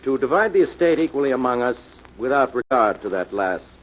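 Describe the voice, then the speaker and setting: An actor playing a well-spoken, anxious heir in 1940s radio mystery style. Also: male voice, mid-range voice, expressive, a little fast, lightly acted. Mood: insistent, polite. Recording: dull, noisy old radio recording.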